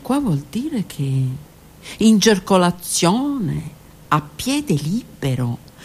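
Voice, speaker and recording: A woman's voice: high-pitched, fairly bright tone, voice pushed up, full character voice. A woman doing a brash male convict reciting. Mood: offhand. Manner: declaiming poetry with emphatic dramatic stresses. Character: male prisoner, aspiring actor, brash and proud.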